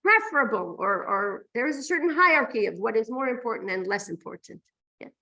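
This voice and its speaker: A woman's voice: on the high side, expressive, fairly quick, sharp tone, lo-fi sound. A woman teaching a religious class, explaining texts emphatically and often posing rhetorical questions to her listeners. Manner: emphatic, poses rhetorical questions and checks "right?".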